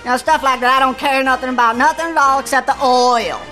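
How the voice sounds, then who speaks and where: A woman playing a bumbling, excitable male president in a satirical comedy, stammering in a folksy Southern drawl. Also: sits high, quick pace, faint music behind, rough recording. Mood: flustered, pleading. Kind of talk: satirical cartoon-style comedy sketch acting.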